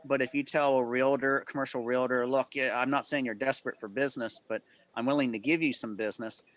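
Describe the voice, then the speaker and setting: A man's voice: medium pitch, fairly even intonation, quick pace, fairly bright tone, dry recording, rough recording. A man answering club members' questions informally on a video call, explaining things in detail.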